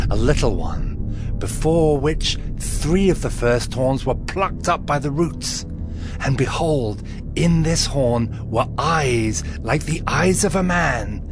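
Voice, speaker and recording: An awestruck prophet recounts fearsome visions in a dramatized scripture. His delivery is grave and very unhurried, every phrase deliberate. Clear dramatic music plays behind his middle-pitched voice. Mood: grave, fearful. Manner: solemn, deliberate storytelling.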